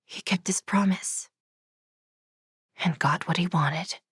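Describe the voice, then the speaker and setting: A woman playing a cold, regal schemer in a video game, with a deliberate, formal, incantatory delivery. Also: on the low side, fairly bright tone, breathy edge, dry recording, clean studio sound, exaggerated voice. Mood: cool, satisfied. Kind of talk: theatrical game villain monologue.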